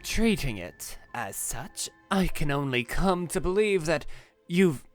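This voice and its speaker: A man playing a sly, taunting demon messenger in an animated roleplay, with a teasing, sarcastic drawl. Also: on the high side, takes it slowly, soft background music. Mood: mocking. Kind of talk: Minecraft roleplay voice acting.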